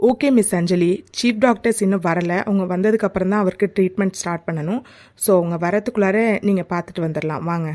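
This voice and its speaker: A woman playing a calm, polite hospital doctor in an audio drama, speaking in a matter-of-fact way. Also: fairly low pitch, fast talker, lightly acted. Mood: calm, businesslike.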